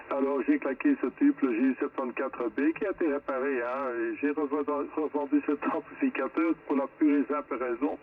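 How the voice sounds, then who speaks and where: A man rambles casually over amateur radio, explaining as he goes. His voice is medium in pitch and sounds distant. The signal is tinny and band-limited, with faint static. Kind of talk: casual ham radio contact.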